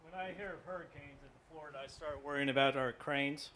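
Bright, practical male operations manager. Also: a little high, quick pace, slight background noise. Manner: practical, matter-of-fact. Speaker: port operations director. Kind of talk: panel discussion.

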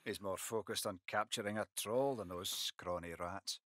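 A grim, disgruntled guard from a video game acted by a man. His gruff, dismissive voice sits low and has a hard, forceful edge. The sound is dry and close. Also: moderate pace, no echo, lightly acted. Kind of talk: video game cutscene acting.

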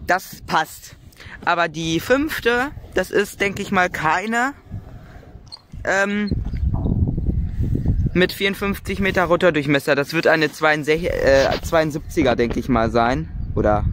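Rambling man filming outdoors, fairly high voice. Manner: chatty, musing aloud with frequent fillers. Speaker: wind turbine vlogger. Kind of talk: casual spoken commentary while filming. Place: open hilltop field at a wind farm, outdoors. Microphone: phone camera mic, speaker behind the camera. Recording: outdoor wind and ambient noise.

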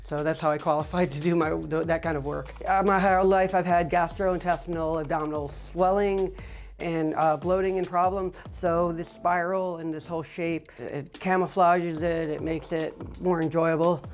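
A middle-aged man with a high voice, speaking matter-of-factly in an interview, with frequent fillers. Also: fairly even intonation, normal speed, soft background music. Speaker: record holder. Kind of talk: interview monologue. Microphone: lapel.

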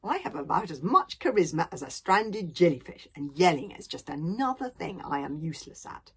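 A woman's voice: lowish voice, very animated, moderate pace, breathy edge, exaggerated voice. A woman voicing a gloomy, self-doubting young Viking boy in a storybook, complaining in a plaintive way. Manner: loud, plaintive protesting.

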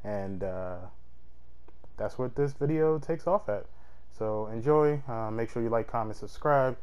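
A man casually telling a story as a game streamer, in slangy, animated talk with some swearing. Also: mid-range voice, a little slow, clear, resonant tone. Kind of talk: casual storytelling over gameplay.

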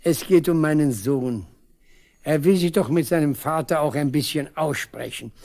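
An actor playing a proud, insistent old farm veteran in a German radio play. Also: male voice, a little high, normal speed, darkish tone, close to an everyday voice. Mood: pleading, stubborn. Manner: insistent, pestering, boastful. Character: stubborn, proud old village man, collective-farm veteran.

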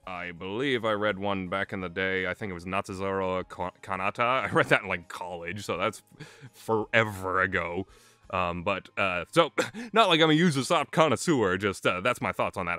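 A man's voice: deep voice, normal speed, bright, forceful tone, clean tone, no room sound. A young man giving casual, unscripted commentary as a reviewer, hesitant and self-deprecating in the way he talks. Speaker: visual novel reviewer. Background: music.

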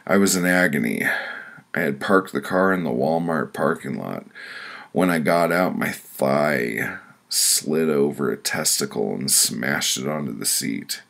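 Male author-narrator, bottom-of-the-range rasp, slow delivery, crude comic first-person storytelling. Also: rumbling low, animated, a bit bright. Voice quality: gravelly, rough. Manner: crude, profane, blunt. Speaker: author reading their own audiobook.

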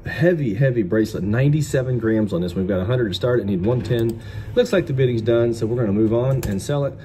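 A man's voice: mid-range voice, fast talker, warm tone. A middle-aged American man hosting as an auctioneer, giving casual commentary on the lots as he sells them. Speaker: auctioneer and vlog host. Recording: clear background noise.

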